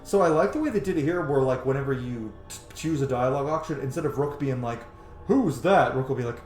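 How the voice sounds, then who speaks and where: A man's voice: mid-range voice, fast, a little mellow. A man giving casual, opinionated gameplay commentary in informal, sweary language. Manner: profane, informal. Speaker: video game streamer giving commentary. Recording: roomy echo, faint game music behind. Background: faint game music.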